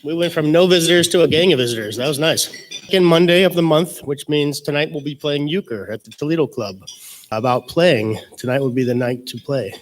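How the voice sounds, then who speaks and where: A man's voice: fairly high pitch, a little fast, a little hiss or hum, low-quality recording. A man making a cheerful club announcement about a social card night. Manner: cheerful, chatty. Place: meeting hall.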